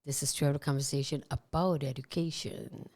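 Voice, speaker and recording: A woman hosting a casual radio talk show, giving gentle advice with many fillers. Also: a bass voice, steady tone, normal speed. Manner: encouraging, advising, with fillers.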